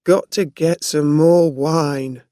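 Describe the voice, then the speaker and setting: Narrator acting a loud drunk, angry man. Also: medium pitch, fairly even intonation, slow pace, no echo, clean studio sound, close to an everyday voice. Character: drunk angry red-faced man, gruff and slurring.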